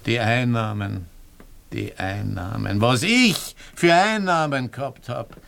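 Gravelly Viennese man, ruined and bereaved. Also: mid-range voice, slow, fairly bright tone. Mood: bitter nostalgia. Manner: rambling, plaintive, repeating himself. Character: bereaved, ruined former businessman turned scrounger, bitterly wry. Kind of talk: German-language radio play acting.

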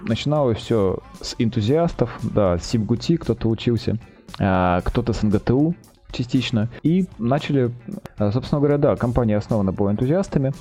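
A man's voice: mid-range voice, lively intonation, brisk, warm tone, faint music behind. A man speaking as a company representative, presenting and answering questions informally in businesslike, sales-like phrasing. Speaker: company representative, project manager, interview guest.

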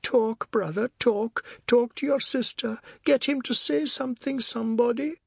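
A man's voice: extremely high pitch, a little slow, creaky, breathy edge, dry recording, clean studio sound, voice pushed up, full character voice. A man voicing a melodramatic wife who pleads urgently in alarm, in an audiobook story. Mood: anxious concern.

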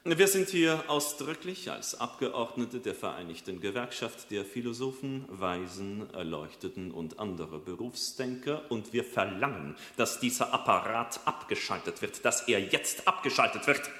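An actor playing a brash, aggrieved philosopher in a comic radio drama, talking colloquially like a blustering union agitator. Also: male voice, lowish voice, lively intonation, moderate pace, few pauses, sharp tone. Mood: indignant. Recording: echoey hall reverb.

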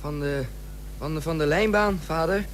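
A man's voice: a little high, moderate pace, slight background noise, close to an everyday voice. An actor playing a defiant ten-year-old rascal in a radio play, evasive and cheeky with his parents. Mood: sheepish, evasive. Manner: evasive, then cheeky and indignant. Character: defiant, sulky ten-year-old boy, mischievous daredevil. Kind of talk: Dutch radio play acting.

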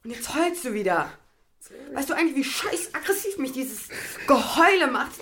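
A woman's voice: high-pitched, big pitch swings, normal speed, a bit bright. An actress playing a bitter, volatile former athlete in her thirties in a naturalistic audio drama, with a blunt and moody delivery. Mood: bitter, furious. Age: 30s. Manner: blunt, moody, self-deprecating.

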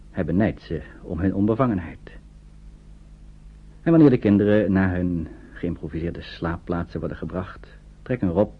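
A man narrating a radio play in a measured, sober, scripted style. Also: deep voice. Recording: dull, noisy old radio recording.

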